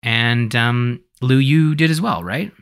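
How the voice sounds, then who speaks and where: A man hosting a podcast in casual conversation, talking in an animated, rambling way as he thinks aloud. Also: medium pitch, slow, clear, resonant tone. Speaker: podcast host.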